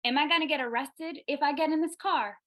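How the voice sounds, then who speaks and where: A woman's voice: high-pitched, fast talker, fairly bright tone. A woman acting a spirited, hopeful young 1940s woman in a live screenplay read. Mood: sassy.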